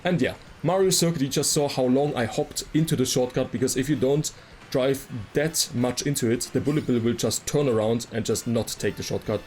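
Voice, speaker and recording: A man's voice: medium pitch, brisk, a little mellow, slight background noise. A man giving casual, detailed explanatory commentary as a video game guide creator. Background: game music.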